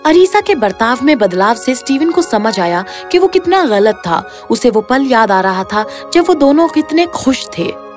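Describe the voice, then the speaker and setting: A female storyteller reads a scripted fairy tale. Her voice is high and moves in lively, animated contours. Music plays clearly behind the narration. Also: unhurried. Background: background music.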